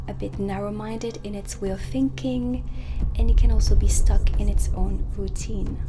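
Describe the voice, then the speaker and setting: A woman playing a dark witch who teaches at a magic academy, speaking softly and instructively in an ASMR roleplay, often dropping close to a whisper. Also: medium pitch, close to an everyday voice. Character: dark witch teacher, stern lecturer on zodiac signs. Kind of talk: soft-spoken ASMR roleplay lesson. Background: ambient music.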